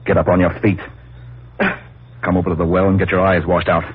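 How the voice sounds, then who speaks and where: An actor playing a stern, exasperated farm boss with a husky, gruff delivery in an old-time radio thriller, on a tinny vintage recording. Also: male voice, low-pitched, flat delivery, fairly quick. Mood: firm, steadying. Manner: gruff, commanding.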